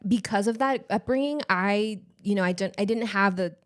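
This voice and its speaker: A woman in her thirties, born in Ecuador with Spanish as her first language, co-hosting a podcast in a relaxed, reflective way. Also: medium pitch, normal speed, a little hiss or hum. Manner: relaxed, reflective, uses "like" often. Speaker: podcast co-host. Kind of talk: casual podcast conversation. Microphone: handheld mic.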